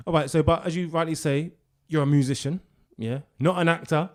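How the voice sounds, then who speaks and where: A man's voice: a little high, fairly even intonation, a little fast. A young man hosting a radio interview, asking long rambling questions full of fillers like "um" and "like". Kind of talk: casual interview.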